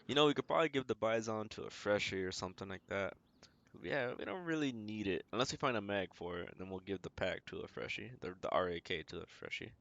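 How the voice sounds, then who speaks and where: Male game streamer, low gravelly voice, muttering short casual remarks, faint noise and keyboard clicks behind. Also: fast, fairly bright tone, highs cut off. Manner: talks to himself in short remarks. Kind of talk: casual gameplay commentary. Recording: noisy mic with clicks and keyboard typing. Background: keyboard typing and clicking.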